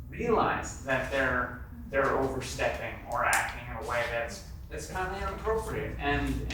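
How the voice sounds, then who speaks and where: A man with a slightly raised voice talks in a strongly echoing room with clear background noise. He shares personal experience casually, hesitating and repeating phrases. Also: fairly high pitch. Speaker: meeting participant sharing personal experience. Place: convention meeting room, indoors. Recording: very echoey room, distant mic, clear noise.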